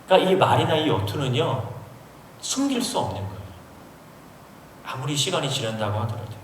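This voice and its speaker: A man's voice: a little high, a bit bright, a little hiss or hum. A man from Seoul preaching a sermon as a pastor, explaining a passage and putting questions to his listeners. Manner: explains and asks the audience questions. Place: large university auditorium. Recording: echoey hall from far off.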